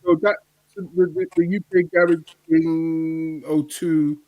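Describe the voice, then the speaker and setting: A man hosting a casual podcast interview, asking questions and jumping in with excited reactions. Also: a little high, unhurried, narrow, tinny audio. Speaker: podcast host.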